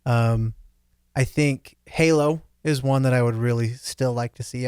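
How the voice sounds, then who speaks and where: A man's voice: medium pitch, a little mellow, no echo, studio quality. A man on a podcast panel chatting casually, with informal enthusiasm. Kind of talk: casual chat.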